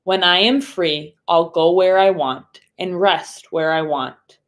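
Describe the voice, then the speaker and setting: A woman, a primary school teacher, speaking deliberately and instructively to young pupils as in a read-aloud storytime. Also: on the low side. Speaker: third grade teacher.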